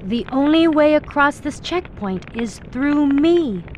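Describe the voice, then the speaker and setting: An actress playing a haughty young female swordswoman guard in a video game, deliberate and condescending. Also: sits high, expressive, darkish tone. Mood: haughty, teasing. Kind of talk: video game cutscene acting. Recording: clear background noise.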